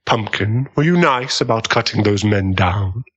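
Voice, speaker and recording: A husky young male vampire, acted by a man, pitched low and a little muffled. He is smug and possessive, doting one moment and coolly predatory the next, in an intimate ASMR roleplay style. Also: animated, measured pace, a bit bright, exaggerated voice. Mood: smug menace. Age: young adult. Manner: teasing, coolly menacing. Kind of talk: ASMR boyfriend audio roleplay.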